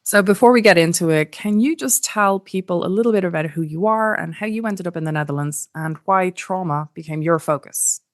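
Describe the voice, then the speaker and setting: A woman hosting an interview podcast, speaking in measured, emphatic phrases. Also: fairly low pitch. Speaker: podcast host. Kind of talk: scripted introduction and interview.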